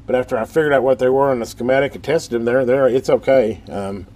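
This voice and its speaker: A man's voice: mid-range voice, steady tone, quick pace, darkish tone. A middle-aged man giving casual hands-on repair commentary in a folksy, rambling way, as if thinking aloud. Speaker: host of a radio repair channel. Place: workshop in a metal building. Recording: background noise in a workshop.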